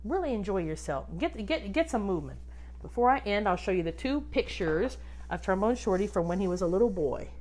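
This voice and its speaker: A woman reading a children's book aloud expressively, like a teacher, pausing to instruct and put questions to young listeners. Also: mid-range voice, big pitch swings, a little mellow. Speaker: music teacher reading a picture book to pupils.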